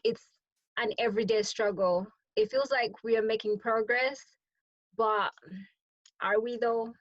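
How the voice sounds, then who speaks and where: A woman's voice: mid-range voice, no room sound, lo-fi sound. A woman in casual podcast conversation, speaking expressively and repeating phrases for emphasis.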